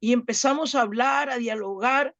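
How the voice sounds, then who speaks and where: A woman from Latin America telling a personal story to a group in an earnest, halting way, repeating words as she goes. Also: on the high side, fairly bright tone, no room sound, lo-fi sound. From Latin America, outside Chile. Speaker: club volunteer and workshop participant.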